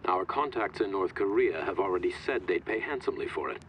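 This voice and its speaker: An actor playing a cautious male adviser in a criminal organisation in a video game, formal and measured. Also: low voice, animated, fairly bright tone, a little hiss or hum. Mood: cautious, serious. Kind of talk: cinematic video game acting.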